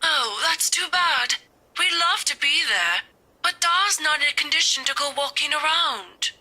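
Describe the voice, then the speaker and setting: A woman acting as a warm, wistful middle-aged mother in a video game, affectionate and gently coaxing. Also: high-pitched, a little slow, heavily acted. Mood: regretful.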